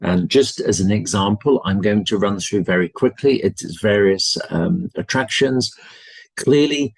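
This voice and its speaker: Man, British accent, low voice, dry close sound, polite promotional webinar talk. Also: a little slow, creaky, no echo, rough recording. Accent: British English. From United Kingdom. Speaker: university representative. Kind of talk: webinar presentation. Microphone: video call.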